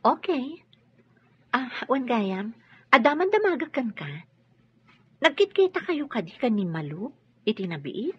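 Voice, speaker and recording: An actress playing an earnest, soft-hearted woman in love in a radio drama. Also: a little high, sing-song, a little slow, pauses often, husky, exaggerated voice. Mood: hesitant. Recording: dull, noisy lo-fi radio.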